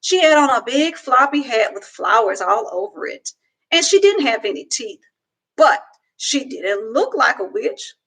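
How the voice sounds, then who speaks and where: A young woman reading a children's book aloud at home into a webcam, with slow, expressive storytelling. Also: on the high side, low-quality recording. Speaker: reader. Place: home room, indoors.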